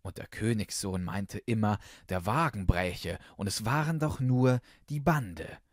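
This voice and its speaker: A man's voice: lowish voice, unhurried, dry recording, studio quality. A man reading a fairy tale as a scripted audiobook narrator.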